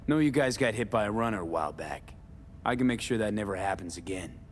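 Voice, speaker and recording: A man's voice: lowish voice, expressive, fast talker, slight background noise, lightly acted. An actor playing a tough, blunt male mercenary in a video game, terse, pushy and skeptical. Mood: bargaining, firm. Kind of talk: video game dialogue acting.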